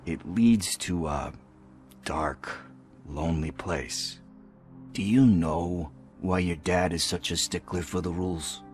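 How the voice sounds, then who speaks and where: An actor playing a streetwise, gruffly caring police officer in a video game, with a wry, blunt, scolding manner. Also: male voice, low voice, slow, faint music behind. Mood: stern, caring.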